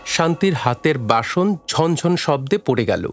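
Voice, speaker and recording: A man narrating a literary story for an audio drama in a measured, dramatic way. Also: fairly high pitch, expressive. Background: faint music.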